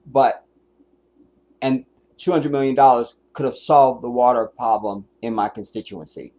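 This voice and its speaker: A man's voice: medium pitch, a little slow, darkish tone. A man streaming commentary over a clip, blunt and emphatic, swearing freely. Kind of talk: profane reaction commentary.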